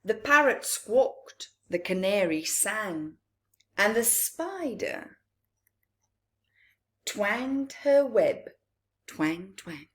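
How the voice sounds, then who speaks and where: A woman reading a picture book aloud to children, deliberate and expressive, with long pauses and small asides. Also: mid-range voice. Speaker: children's storytime reader.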